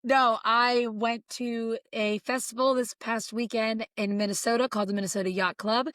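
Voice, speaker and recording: A woman co-hosting a podcast, hoarse today, chatting excitedly about bands she loves. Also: a little high, normal speed, no echo, studio quality. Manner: chatty, excited fan storytelling. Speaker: podcast co-host. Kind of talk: casual podcast chat.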